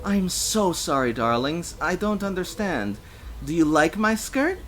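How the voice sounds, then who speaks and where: A man's voice: fairly high pitch, huge pitch swings, slow, fairly bright tone, breathy edge, voice pushed up, heavily acted. A man voicing a polite, earnest elderly androgynous activist with a German accent, in a narrated story. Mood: mockingly polite.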